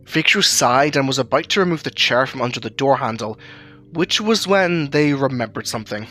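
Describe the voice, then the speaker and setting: A man's voice: medium pitch, animated, brisk, bright, forceful tone. A man reading scripted third-person narration for an audio drama. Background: music.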